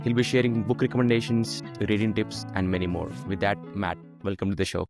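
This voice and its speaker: A man hosting a conversational podcast interview, asking questions with somewhat halting phrasing. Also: on the low side, flat delivery, gravelly, music in the background.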